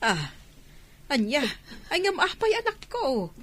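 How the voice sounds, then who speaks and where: A woman's voice: high-pitched, wildly swooping pitch, a little slow, fairly bright tone, full character voice. A woman playing a concerned mother of a grown daughter in an Ilocano radio drama. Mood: questioning.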